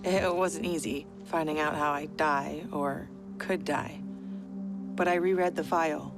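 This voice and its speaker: A woman's voice: sits low, steady tone, measured pace. A woman playing a tough, blunt army mother in a video game, dry and no-nonsense. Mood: earnest, tender. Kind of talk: video game drama acting. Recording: loud music underneath.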